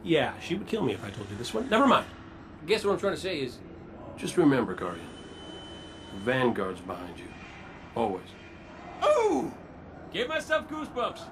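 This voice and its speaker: A chatty, cocky adventurer from a video game. His pitch jumps around as he rambles in a playful, informal way. Noticeable background noise surrounds him. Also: wildly swooping pitch, normal speed, pauses often. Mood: sincere, then gleeful. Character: jokey, chatty male adventurer, cocky but sincere. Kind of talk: video game character dialogue. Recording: clear background noise and ambience.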